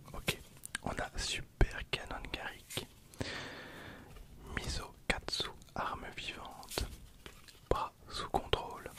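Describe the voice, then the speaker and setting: A man speaks in a breathy, unvoiced whisper, giving informal ASMR commentary. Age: 20s. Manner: informal, murmured running commentary. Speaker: ASMR video creator. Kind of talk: whispered ASMR with casual commentary on trading cards.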